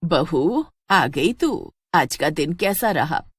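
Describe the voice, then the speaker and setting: An aged, affectionate mother-in-law from a village cartoon tale, acted out by a woman. Her voice sits low and sounds homely and plain-spoken. The recording is close and dry. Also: big pitch swings, slow, husky, dry recording, exaggerated voice. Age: older. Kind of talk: animated Hindi storybook acting.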